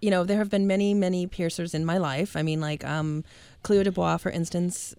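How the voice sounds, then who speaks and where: A woman's voice: on the low side, steady tone, fairly quick, darkish tone, smooth, dry recording. A woman in her late thirties hosting a casual, candid chat, rambling and breaking off mid-sentence. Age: late 30s. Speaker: show host.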